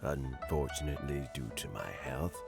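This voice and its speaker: An actor playing an elderly, courteous town official in a video game, speaking formally and deliberately with a weary air. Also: male voice, very deep voice, vocal fry. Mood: measured, explanatory. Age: older. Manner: formal, deliberate, unhurried phrasing. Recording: game dialogue with music behind.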